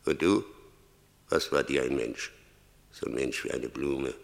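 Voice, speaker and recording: Gravelly, cavernous, very deep Death. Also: male voice, heavily acted. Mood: accusing, scornful. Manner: declamatory, emphatic, slow rhetorical repetition. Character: Death personified, stern mocking ancient tempter and judge. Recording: vintage radio drama, long cavernous reverb.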